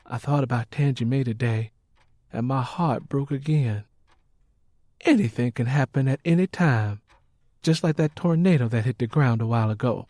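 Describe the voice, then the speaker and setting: Audiobook role: male narrator as weary, God-fearing Southern woman, earnest and gently reproving, dry close sound. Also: mid-range voice, moderate pace, no room sound, close to an everyday voice. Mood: worried. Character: devout, weary, steadfast Southern mother. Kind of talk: audiobook character acting.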